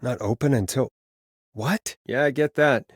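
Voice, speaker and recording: A man's voice: medium pitch, a little slow, husky, no room sound, clean studio sound. A man playing a sardonic, world-weary but caring late-night radio host in a video game, talking in a wry, conversational way. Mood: wry, pensive.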